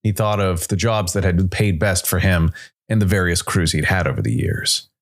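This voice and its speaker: Man, low-pitched voice, dramatic sci-fi storytelling, scripted narration. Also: moderate pace.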